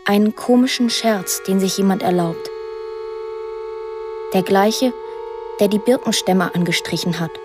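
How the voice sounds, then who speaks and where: An actress voicing a lonely, imaginative eight-year-old girl in a radio drama monologue, plain, deliberate and earnest. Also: medium pitch, music in the background, lightly acted. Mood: wistful, matter-of-fact.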